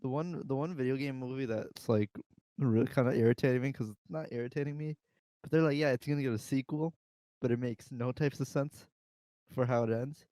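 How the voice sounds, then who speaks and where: A man's voice: mid-range voice, a little fast, mellow, no room sound. A young American man hosting a podcast with casual, slangy banter among friends. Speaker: podcast host.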